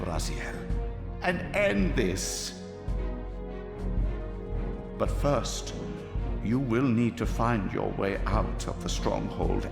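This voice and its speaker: Manipulative old game villain, low creaky voice, pompous, deliberate, sly sorcerer. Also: male voice, full character voice. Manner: smooth-tongued, pompous, deliberate. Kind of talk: theatrical video game villain dialogue. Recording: echoing hall reverb under loud music. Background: loud game music.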